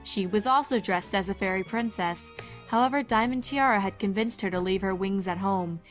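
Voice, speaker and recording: A woman reading fan fiction aloud as an expressive story narrator. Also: mid-range voice, moderate pace, soft background music.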